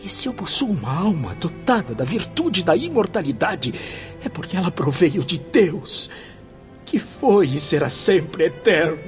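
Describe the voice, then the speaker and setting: An actor playing a tormented, repentant former bohemian in a religious radio drama, speaking in a solemn, theatrical, confessional way. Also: male voice, sits high, very animated, unhurried, soft background music. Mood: solemn conviction.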